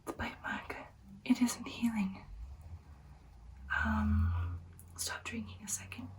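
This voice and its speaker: Anxious, tender vampire girlfriend, acted by a woman for ASMR roleplay: higher-pitched whisper, soothing and halting, slightly away from the mic. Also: steady tone, distant mic. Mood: concerned, coaxing. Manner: soft, hushed, halting, soothing personal attention. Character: caring, anxious vampire girlfriend tending the wounded.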